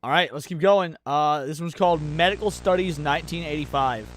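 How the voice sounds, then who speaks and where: A man's voice: on the high side, fairly quick, faint music behind, rough recording. A man hosting a livestream, giving casual, informal reaction commentary. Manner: informal, swearing. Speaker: livestream host.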